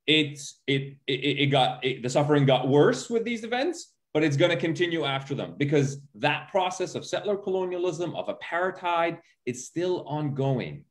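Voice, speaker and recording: A man with a fairly high voice gives impassioned commentary as a scholar on a panel. He explains in plain, emphatic terms, often stammering into a sentence and then repeating key phrases for stress. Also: lively intonation, normal speed, few pauses.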